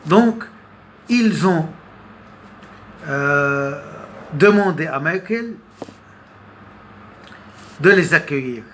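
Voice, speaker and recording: Very slow, halting man giving commentary. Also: on the high side, expressive, a bit bright. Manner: halting, hesitant, with long pauses and repeated words. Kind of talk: unscripted political commentary monologue. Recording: noticeable background noise.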